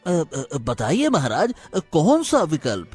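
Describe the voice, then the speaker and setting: A man playing an anxious, deferential royal minister in an animated moral story, urgent and pleading. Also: a little high, breathy edge, soft background music, full character voice. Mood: eager. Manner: urgent, pleading, drawn-out emphasis.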